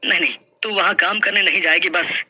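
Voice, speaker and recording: A man's voice: sits high, steady tone, a little fast, sharp tone, exaggerated voice. A man playing a poor, proud village farmer, pleading and emotional in a dramatized folk story. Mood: anguished, firm.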